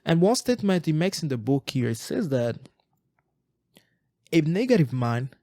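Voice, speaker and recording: A man's voice: fairly high pitch, big pitch swings, normal speed, mellow, no room sound, clean studio sound. A man in his forties from Eastern Congo, co-hosting a podcast, talking earnestly in a reflective way and often repeating words as he restarts his sentences. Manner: earnest, frequent word repetitions and restarts. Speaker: podcast co-host. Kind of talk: reflective casual chat.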